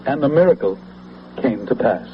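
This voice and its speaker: An actor playing a zealous, scheming political leader and veteran, speaking in a grandiose, persuasive oratorical way in a vintage radio drama. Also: male voice, medium pitch, animated, normal speed, natural voice. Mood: fervent. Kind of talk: 1970s radio drama acting. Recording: muffled, noisy old radio broadcast.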